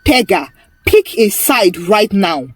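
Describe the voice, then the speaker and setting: A woman's voice: medium pitch, sing-song, takes it slowly, husky, no room sound, soft background music, exaggerated voice. A woman voicing a frustrated, earnest Nigerian husband in a story, intense and emphatic, with a Nigerian accent. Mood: low warning.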